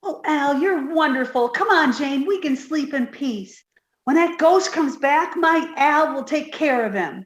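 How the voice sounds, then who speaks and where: A woman doing a sweet ditzy secretary girl for old radio comedy, really high and slow, easily distracted, bit echoey. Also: expressive, dull audio. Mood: eager, admiring. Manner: dim-witted, earnest, easily distracted. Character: sweet, naive, scatterbrained young female secretary.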